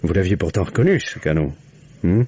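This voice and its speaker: Husky, shrewd police commissioner. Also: male voice, deep voice, big pitch swings, fairly quick, warm tone, full character voice. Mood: pressing. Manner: probing, paternal, conversational with muttered prompts. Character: shrewd, patient male police commissioner questioning a witness. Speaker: actor in a radio mystery play. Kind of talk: 1950s French radio drama acting. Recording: muffled old radio recording.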